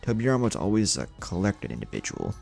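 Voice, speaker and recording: A man's voice: deep voice, huge pitch swings, fast, creaky, a little mellow, soft background music. A man narrating a scripted story as a storyteller who reads out character dialogue. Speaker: narrator of fan fiction.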